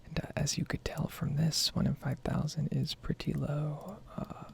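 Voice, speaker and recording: Hushed, very creaky ASMR whisperer. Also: medium pitch, little pitch movement. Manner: hushed, soft-spoken, unhurried. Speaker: gaming video host. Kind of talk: ASMR gameplay ramble.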